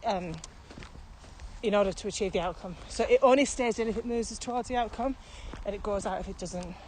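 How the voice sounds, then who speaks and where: A woman's voice: mid-range voice, fairly quick, a little mellow, poor audio. A middle-aged woman explains things in a casual, conversational podcast style. She is emphatic and works through each point step by step. She is recorded outdoors on a walk, with faint ambient noise behind her. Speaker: podcast co-host and experienced trainer. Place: outdoors, walking. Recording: outdoor walking recording, clear background noise.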